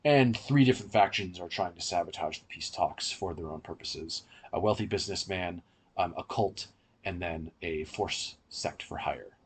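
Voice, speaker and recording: A man's voice: sits low, normal speed, a little hiss or hum. A man hosting a review podcast, explaining things in a casual, conversational way. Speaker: podcast host reviewing audio dramas.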